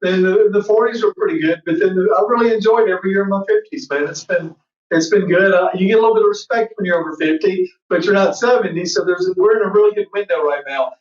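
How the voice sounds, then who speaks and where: Fifty-five yr old guy telling excited rambly stories, echoey room, sounds far from the mic. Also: sits high, fast. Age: 50s. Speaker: guest, entertainment show host. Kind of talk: casual interview chat. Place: indoor room. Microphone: far camera on a video call. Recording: roomy, distant, muffled video-call audio.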